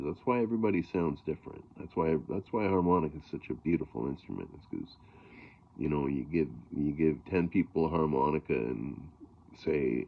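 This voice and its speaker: Man, middle-aged, very gravelly, husky, rambling casual talk, tinny phone-like audio. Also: extremely low pitch. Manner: rambling, many fillers and throat clearing. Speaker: blues harmonica player.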